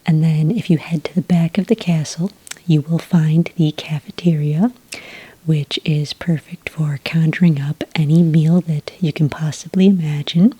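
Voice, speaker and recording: A woman playing a friendly, helpful witch clerk at a magic academy in a soft-spoken, unhurried, whispery ASMR roleplay. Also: low voice, fairly even intonation, breathy edge, no room sound, low-quality recording. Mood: helpful, reassuring.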